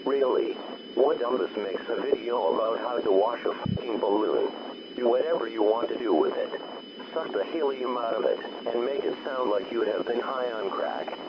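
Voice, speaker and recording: A man reading a scripted emergency alert as an announcer. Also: mid-range voice. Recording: muffled alert broadcast with loud noise.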